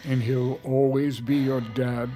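Man as a slow, mellow, protective doctor. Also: medium pitch, flat delivery, soft background music, lightly acted. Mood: grave, gentle. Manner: measured, gentle, deliberate. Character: calm, grave, protective doctor and long-lost father. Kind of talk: naturalistic audio fiction acting.

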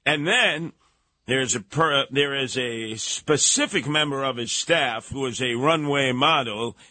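A man's voice: medium pitch, a little slow, bright, forceful tone, slight background noise. A man hosting a radio talk show with theatrical, teasing banter. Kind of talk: casual radio banter, mocking commentary.

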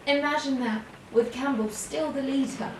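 A woman's voice: high voice, steady tone, moderate pace, close to an everyday voice. A woman playing a despairing, worn-out character in an audio drama, anguished, with repetitive, breaking phrases. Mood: bitter, low. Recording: echoey, distant room with faint noise.

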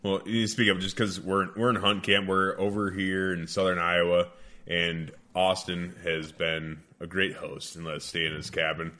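A low-voiced man hosts a podcast with a bright, forceful edge to his tone. He rambles casually and swears freely, and the recording is dry and close. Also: little pitch movement, moderate pace, dry recording. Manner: profane, rambling, laughs often. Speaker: podcast host. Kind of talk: casual podcast chat and ad read. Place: hunting cabin.

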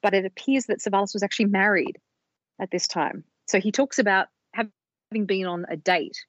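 A woman co-hosting a podcast, explaining research in a measured storytelling way on a slightly dull, lo-fi recording. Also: mid-range voice, no room sound. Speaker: podcast co-host presenting research.

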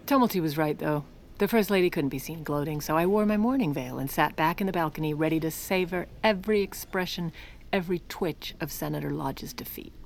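A sardonic First Lady of a century ago in an audio drama. Her voice is very low and full of creak, with a dry, theatrical bite. There is clear noise around the recording. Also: a little fast. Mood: brisk, wry. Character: sardonic, proud American First Lady, Edith, 1910s. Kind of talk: audio drama acting with wry narration.

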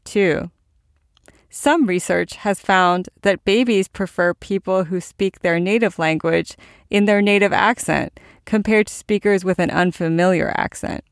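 A woman hosting a science podcast, reading scripted narration in a measured, explanatory way. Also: lowish voice, dry recording, studio quality. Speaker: podcast host.

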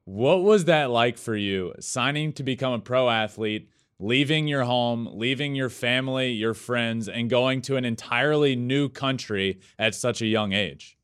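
A man's voice: medium pitch, a little slow, fairly bright tone, clear, resonant tone. A man hosting a conversational sports interview, speaking in an enthusiastic, effusive manner.

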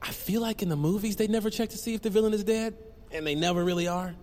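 A man's voice: high-pitched, fast talker, darkish tone, a little hiss or hum, lightly acted. An actor playing a melodramatic young man, a jittery buddy hero in a comedic action audio drama, full of hesitant banter. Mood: uneasy banter. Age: young adult.

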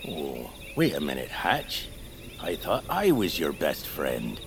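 Feral hermit, audio fiction: low voice, wildly varied delivery, blunt, needy, deadpan. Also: male voice, slow pace, background noise, exaggerated voice. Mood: wistful.

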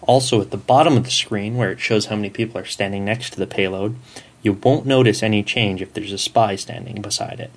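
A man with a slightly low voice records a message as a listener. He explains things in an orderly, prepared style. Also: fairly quick.

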